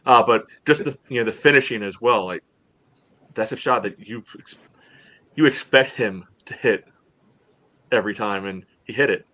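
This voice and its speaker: A man with a fairly low voice gives casual soccer analysis on a podcast. He speaks haltingly, breaking his thoughts into short pieces and dropping in "you know" again and again. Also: sharp tone. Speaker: sports podcast host.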